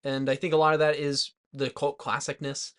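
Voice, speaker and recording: A young man with a slightly high voice co-hosts a casual movie podcast while drinking. He speaks informally and tentatively, as if thinking out his opinions while he goes. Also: level tone, a little fast, a bit bright, clear, resonant tone. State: drinking alcohol. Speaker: podcast co-host.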